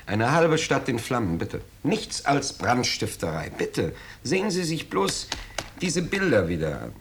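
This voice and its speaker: An actor playing an uneasy, well-to-do householder in a 1950s German radio play, speaking with hesitant, formal politeness. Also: male voice, medium pitch, very animated, unhurried. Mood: indignant. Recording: noisy 1950s radio drama recording.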